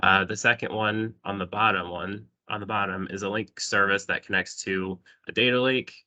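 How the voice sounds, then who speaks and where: A man with a cold giving a remote technical presentation over a call, sounding congested, often clearing his throat and saying um. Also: on the low side, steady tone, moderate pace, pushed and bright. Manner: frequent throat clearing and ums. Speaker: presenter at a user group.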